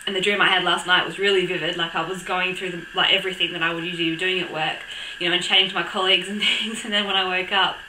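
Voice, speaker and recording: A young woman with a croaky voice from a cold, chatting casually to camera with lots of ums and little laughs. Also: fairly low pitch, fairly even intonation, fast talker, bright, forceful tone, reverberant, a little hiss or hum, rough recording. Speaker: vlogger. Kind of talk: casual chat.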